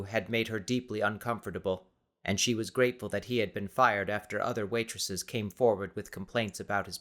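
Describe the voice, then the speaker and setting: A man's voice: fairly low pitch, a bit bright. A man reading scripted, dramatic story narration as an audiobook narrator.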